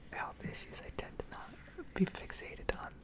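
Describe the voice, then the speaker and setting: A woman with an American accent whispering a candid, rambling ASMR monologue with hesitant phrasing. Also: moderate pace. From New England, United States. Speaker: ASMR video creator.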